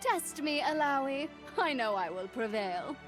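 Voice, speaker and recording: An actress playing a joyful, fearless warrior woman in a video game, speaking in a grand, deliberate, declamatory manner. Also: high voice, lively intonation, music in the background. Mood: defiant, commanding. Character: joyful, fearless warrior woman, proud demon-binding champion. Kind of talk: video game champion voice lines.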